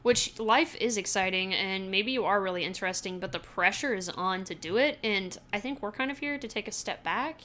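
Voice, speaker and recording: A woman's voice: mid-range voice, a little fast, hardly any pauses, a bit bright. A woman in her thirties co-hosting a podcast, chatting in a rambling way and laughing often. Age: 30s. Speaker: podcast co-host. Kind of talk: casual chat.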